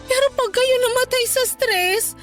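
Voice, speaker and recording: A very high-pitched, devoted Filipina daughter. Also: female voice, unhurried. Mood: tearful, apologetic. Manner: gentle, earnest, polite. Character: devoted, forgiving young adult daughter, respectful and earnest. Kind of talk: emotional radio drama dialogue. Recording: music bed under the dialogue.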